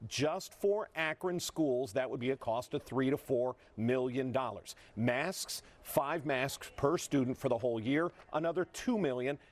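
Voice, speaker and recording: A man's voice: mid-range voice, a little slow, few pauses. A man with an American accent giving an explanatory news report as a television reporter.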